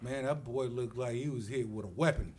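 A man's voice: mid-range voice, brisk, a crowd in the background. A middle-aged man from the United States who tells stories with energy as a podcast guest and acts out the voices of the people in them. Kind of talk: animated storytelling.

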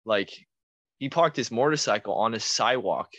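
Laid-back young man, low voice. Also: animated, moderate pace. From Taiwan. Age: young adult. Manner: laid-back, laughs often, trails off quietly. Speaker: podcast guest. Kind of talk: casual chat.

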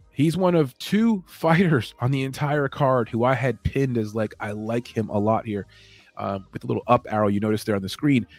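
A man hosting a late-night MMA show, talking in a calm, rambling, laid-back way. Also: medium pitch, no room sound. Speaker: MMA podcast host. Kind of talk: late-night solo show, casual chat.

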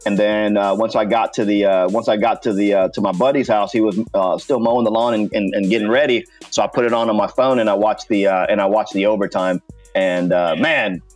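A man's voice: on the low side, steady tone, fairly quick, faint music behind. A man hosting a podcast, bantering casually about sports and funny stories in a teasing, mildly profane way. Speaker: podcast host.

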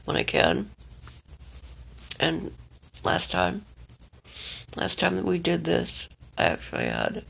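Stuffed-up vlogger, scratchy, very gravelly, bright edge, rambling. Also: medium pitch, wildly swooping pitch, slow, long pauses. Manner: rambling, checks in with the listener again and again. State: stuffed up, congested. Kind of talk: rambling personal vlog.

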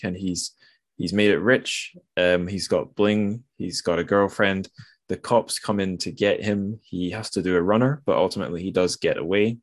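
A man hosting a literary podcast in an informal, halting conversational way, often correcting himself. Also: low-pitched, no echo. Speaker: podcast host.

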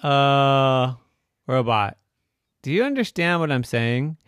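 A man voicing an eager, excitable little boy in a children's story, with an exclamatory, sing-song way of talking. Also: medium pitch, slow pace, smooth, no echo, studio quality. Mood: puzzled, insistent.

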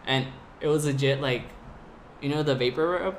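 A man's voice: mid-range voice, moderate pace. A young man from California, a podcast guest telling travel stories casually, with lots of "like" and laughter. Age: young adult. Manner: animated, laughing storyteller with lots of "like". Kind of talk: casual storytelling. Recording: faint background noise.

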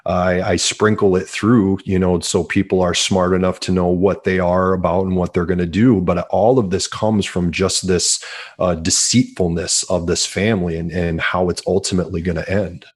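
A man's voice: low-pitched, little pitch movement, moderate pace, husky. A man in his forties, an author answering interview questions earnestly and repeating words as he starts sentences. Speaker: author guest.

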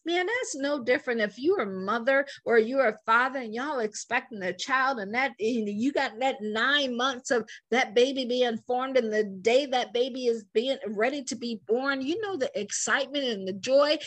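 A woman preaching to online viewers about faith and business, fervent and asking listeners to say lines back, her voice turning hoarse. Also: sits high, a bit bright. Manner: fervent, calls for responses from listeners. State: hoarse, losing her voice and coughing.

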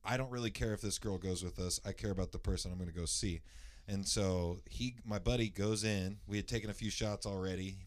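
A man from the Indianapolis area with a naturally loud voice, casually telling a long personal story, rambling with lots of fillers and restarts and clearing his throat. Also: on the low side. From Indianapolis area, USA.

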